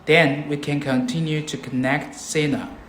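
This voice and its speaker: Slow-paced man narrating in a roomy space. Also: a little high, fairly even intonation, a bit bright. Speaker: product demonstrator. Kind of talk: scripted tutorial narration. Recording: roomy echo, background noise.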